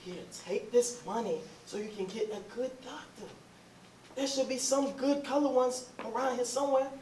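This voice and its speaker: Stage drama: anxious, lovelorn Southern husband who likes giving orders played by a woman, high voice, echoey distant sound. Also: moderate pace, a little mellow, a little hiss or hum, close to an everyday voice. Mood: gentle. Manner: urgent, pleading, commanding. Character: John, earnest, bossy returning husband, anxious and lovelorn. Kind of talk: staged readers theatre, Southern period dialect.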